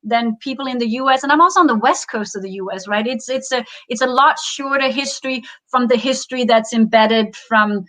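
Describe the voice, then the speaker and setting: A woman's voice: fairly high pitch, fairly quick, hardly any pauses, sharp tone, poor audio. A Danish woman guest in an interview, talking with many fillers and restarts. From Denmark. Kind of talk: interview conversation. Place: home in Oregon woods.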